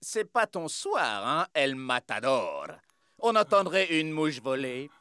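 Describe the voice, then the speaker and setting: A taunting cartoon footballer. He drags words out very slowly with swooping, smug mockery in a crisp voice. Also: fairly high pitch, sing-song, pushed and bright, no room sound, studio quality, full character voice. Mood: mocking. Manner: mocking, drawn-out.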